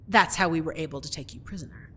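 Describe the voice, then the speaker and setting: A woman playing a cold, scheming royal stepmother in a fantasy audio roleplay, mockingly sweet and condescending, with drawn-out taunts. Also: low-pitched, background noise, lightly acted. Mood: cold, taunting.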